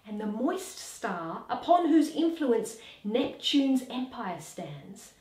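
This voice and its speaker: A woman playing a grave, scholarly nobleman in dramatic, declamatory Shakespearean verse, deliberate and with hushed dips. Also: a little high, reverberant, poor audio. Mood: ominous. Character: grave scholarly Danish nobleman Horatio, awed yet commanding.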